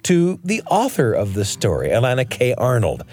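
A man hosting and narrating a radio story program in an earnest, deliberate storytelling way. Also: mid-range voice, wildly swooping pitch, a little mellow, soft background music. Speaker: radio show host and narrator. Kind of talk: scripted narration and studio conversation.

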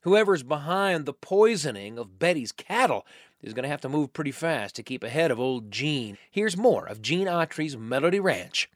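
A man hosting a story program, enthusiastic and playful. His pitch is animated and a little high. The sound is dry and close. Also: normal speed, dry recording. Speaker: host. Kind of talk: radio show narration.

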